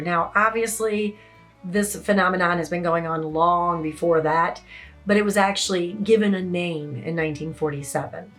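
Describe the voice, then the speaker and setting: A woman with a naturally raspy voice, a bit hoarse from yelling, giving casual, chatty writing advice as an author in her home office. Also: fairly low pitch. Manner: chatty, conversational, lots of "you know". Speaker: indie romance author. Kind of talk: casual talking-head advice vlog. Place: home office with open windows.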